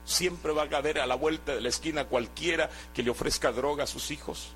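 Earnest preacher, high voice. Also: male voice, brisk, a bit bright, soft background music. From Guatemala. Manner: earnest, exhorting, with hesitant restarts. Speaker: preacher giving testimony. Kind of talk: sermon testimony.

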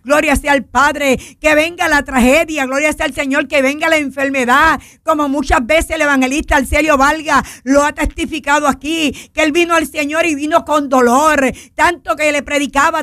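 A middle-aged woman with a Puerto Rican accent preaching an impassioned evangelical sermon, often repeating praise between phrases and sometimes shouting. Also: sits high, no echo, clean studio sound.

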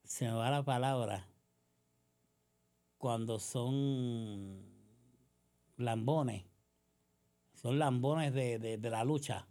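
A woman's voice: rumbling low, very slow, long pauses, dark tone. A woman with a Puerto Rican accent delivering a combative political monologue, sarcastic and accusatory, full of rhetorical questions. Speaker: political commentator.

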